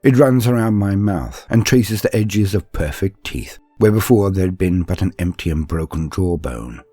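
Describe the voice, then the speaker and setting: A man playing an earnest, deferential young male apprentice, telling his story in a measured, confiding, introspective way. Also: low voice, animated, dark tone, slightly rough, dry recording, soft background music. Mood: relieved, wondering. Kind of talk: first-person dramatic storytelling.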